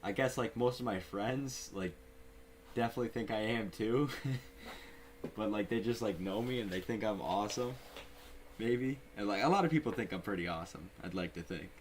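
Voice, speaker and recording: A relaxed young man with a fairly low voice chats on a podcast, laughing easily and leaning on "like". A faint hiss sits under the recording. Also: moderate pace. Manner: relaxed, laughing, filler-heavy. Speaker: podcast co-host. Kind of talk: casual chat.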